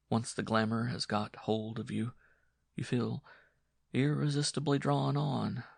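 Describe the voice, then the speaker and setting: Man acting a gravelly, morbidly brooding man. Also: mid-range voice, no echo, clean studio sound, full character voice. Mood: tense musing. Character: brooding man fascinated by his own suicide. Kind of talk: dramatic first-person horror monologue.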